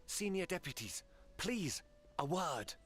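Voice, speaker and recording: A man's voice: high-pitched, big pitch swings, slow, fairly bright tone, soft background music, heavily acted. A man playing a polite, confiding political intriguer in a fantasy video game. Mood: courteous, confiding. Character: smooth-talking, courteous male council intriguer.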